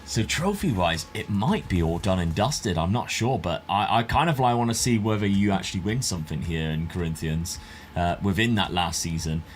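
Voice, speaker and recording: A man's voice: low voice, lively intonation, moderate pace, hardly any pauses, a little hiss or hum. A man hosting a football management game video in an informal, conversational way. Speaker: gaming video host. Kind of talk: scripted narration and casual chat over a football management game.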